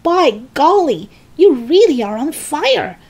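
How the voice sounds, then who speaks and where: A woman's voice: sits high, lively intonation, unhurried, dark tone, voice pushed up. A woman voicing an eager, cheeky, politely reassuring young boy in a children's storybook. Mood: urgent.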